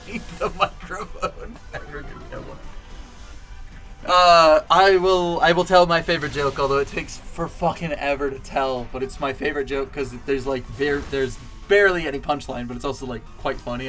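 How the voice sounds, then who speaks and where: Male streamer, pitched-up feminized voice, loose and profane, cheap mic with faint background music. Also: fairly bright tone. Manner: deliberately higher, feminized speaking voice; rambling, swearing, laughing often. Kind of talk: casual stream chat and joke telling. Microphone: cheap desk mic with poor pop filter.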